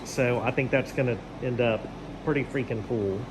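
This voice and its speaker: A man's voice: mid-range voice, moderate pace, background noise. An American man chatting casually to viewers as he works on projects, folksy and informal, thinking aloud. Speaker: host of a garage project vlog. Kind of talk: casual chat to viewers while working. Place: garage and yard.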